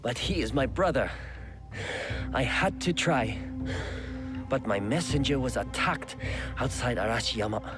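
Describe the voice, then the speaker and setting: Man playing an ailing, slow-spoken, sorrowful lord. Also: medium pitch, expressive, over music, lightly acted. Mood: sorrowful, grave. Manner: formal, grave, halting. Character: ailing, courteous Japanese lord, betrayed and sorrowful. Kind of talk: dramatic game cutscene dialogue.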